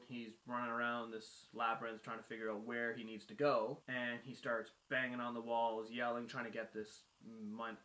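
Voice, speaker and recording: Bright-voiced man telling stories in a roomy space. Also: mid-range voice. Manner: acts out dialogue in colloquial phrasing. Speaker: guest storyteller. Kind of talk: casual retelling of a myth. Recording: roomy echo.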